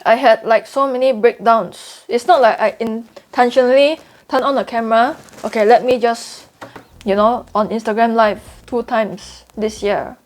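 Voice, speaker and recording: A woman's voice: fairly high pitch, steady tone, moderate pace. A young woman with a Singaporean accent talking candidly to camera in a vlog, earnest and confiding, repeating points for emphasis. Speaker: trading YouTuber.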